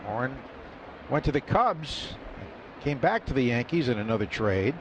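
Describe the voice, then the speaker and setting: A man calling a baseball game live on radio, his voice jumping up in pitch and volume on big plays. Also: sing-song, moderate pace. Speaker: radio play-by-play announcer. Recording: lo-fi radio broadcast with loud ballpark noise.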